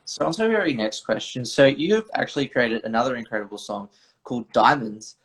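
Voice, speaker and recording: A man's voice: medium pitch, a little fast, a bit bright, low-quality recording. A man hosting an interview, reading out his questions with a set phrase. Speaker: host.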